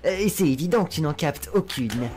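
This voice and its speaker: A woman voicing a very low, sneering spider demon. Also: animated, fairly quick, music in the background. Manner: sarcastic, lewd, mocking drawl. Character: crude flamboyant male spider demon, sarcastic. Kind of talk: fan dub acting.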